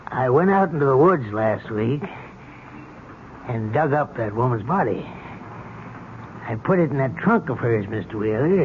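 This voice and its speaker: A dull, slightly noisy old radio recording of a man acting a simple-minded male accomplice in a mystery play. He is halting and deferential, with guilt in the way he explains himself. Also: medium pitch, natural voice. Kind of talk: 1970s radio drama acting.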